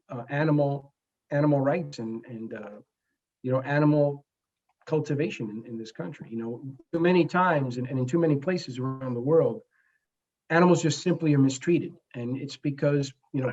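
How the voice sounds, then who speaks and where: A man in his forties from the Bronx, a native Spanish speaker, speaking earnestly and haltingly as a guest in a conversational interview. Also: on the high side, darkish tone, breathy edge, highs cut off. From the Bronx, New York, United States. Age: 40s. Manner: earnest, halting, frequent pauses mid-phrase. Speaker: guest, comic book creator.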